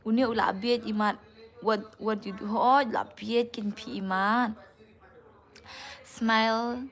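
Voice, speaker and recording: Casual Northeast Indian woman live-streaming. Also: on the high side. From Meghalaya, India. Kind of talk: casual live-stream chat, reading and answering comments.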